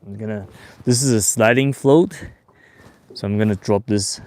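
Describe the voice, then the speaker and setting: A man in his forties who grew up in Taiwan and Australia, hosting a casual fishing livestream from a boat on a lake in a relaxed, chatty way. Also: lowish voice, normal speed. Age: 40s. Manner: relaxed and chatty, with bursts of excitement when a fish bites. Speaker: fishing show host.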